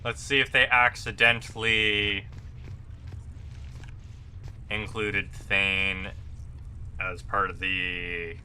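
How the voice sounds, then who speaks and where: A man making laid-back, wry comments while streaming a video game. His voice sits a little low but sounds bright and forward, and he talks at an unhurried, slow pace. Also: little pitch movement, slight background noise. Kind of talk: casual gameplay commentary.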